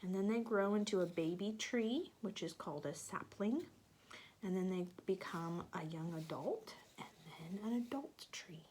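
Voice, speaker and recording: A woman's voice: fairly low pitch, a little slow, a little mellow, husky. A woman teacher reading a picture book aloud to young children, stopping to explain things and ask them questions.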